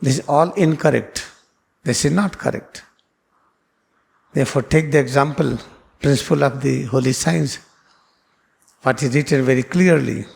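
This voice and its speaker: A man's voice: mid-range voice, moderate pace, gravelly, pauses often, darkish tone. A man, a spiritual teacher, explaining scripture in an earnest, repetitive lecture with phrasing. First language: Hindi.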